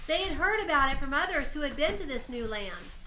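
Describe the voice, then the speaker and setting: High voiced lady reading a history story out loud for a homemade play, expressive, roomy echo, bit of background noise. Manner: expressive read-aloud storytelling, deliberate phrasing. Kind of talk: storybook narration for an amateur play, with informal stage directions. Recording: roomy echoey home recording, faint noise.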